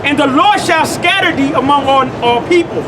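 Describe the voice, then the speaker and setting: Guy w a really high voice preaching scripture outside, loud traffic all around, keeps going "okay? all right?". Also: normal speed, a loud crowd. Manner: repeats words, checks in with "okay?" and "all right?". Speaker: street teacher of scripture. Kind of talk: informal scripture teaching. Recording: loud traffic noise outdoors.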